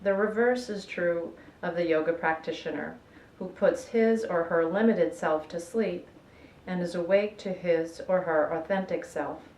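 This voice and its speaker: A woman guiding a relaxation meditation in a measured, soothing way, with gentle pauses. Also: on the low side. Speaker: yoga nidra guide. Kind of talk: guided relaxation meditation, instructional narration. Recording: echoey room with faint background noise.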